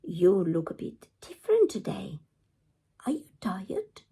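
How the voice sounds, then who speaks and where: A woman's voice: mid-range voice, wildly swooping pitch, measured pace, creaky, warm tone, slightly rough, full character voice. A woman voicing a bold, bookish young girl in a children's storybook, earnest and questioning. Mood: concerned.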